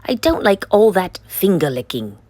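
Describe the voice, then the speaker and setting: A woman's voice: mid-range voice, very animated, moderate pace, mellow, no room sound. A woman voicing a fussy, stubborn little girl who complains emphatically in a children's picture book. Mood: disgusted, defiant. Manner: emphatic, complaining, rhyming protests.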